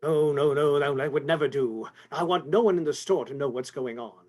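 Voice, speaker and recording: An actor playing a fussy, anxious store executive in a 1950s-style radio play, speaking formally with polite hesitations. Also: male voice, fairly high pitch, a little fast, darkish tone, lightly acted. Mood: worried, guarded.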